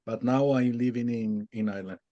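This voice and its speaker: Man on a muffled, dry call line, mellow voice, halting and stumbling. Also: medium pitch, steady tone, no room sound. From Colombia. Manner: halting, stumbling over his words. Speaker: webinar participant. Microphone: video call. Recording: dull, lo-fi video call audio.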